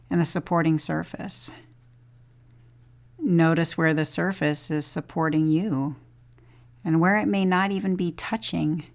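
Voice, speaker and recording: A woman leading a guided body scan meditation as a teacher, giving gentle, unhurried instructions with long pauses. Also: fairly low pitch.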